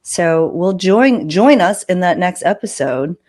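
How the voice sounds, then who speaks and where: Lower-pitched woman, casual podcast host. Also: expressive, unhurried, a little mellow. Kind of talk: casual conversational podcast talk.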